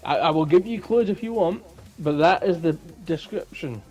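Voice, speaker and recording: A man's voice: fairly high pitch, expressive, moderate pace, a little mellow. A young adult man chatting casually as a podcast co-host. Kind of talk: casual chat.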